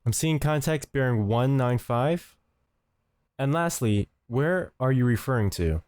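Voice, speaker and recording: A man's voice: medium pitch, expressive, unhurried, darkish tone, dry recording. A man giving scripted instructional narration as the host of a tutorial, speaking close to the mic.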